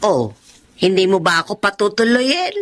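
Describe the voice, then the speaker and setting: A woman's voice: medium pitch, very animated, normal speed, slight background noise, natural voice. An actress playing a caring, older mother-in-law and grandmother in a radio drama, fussing and exclaiming.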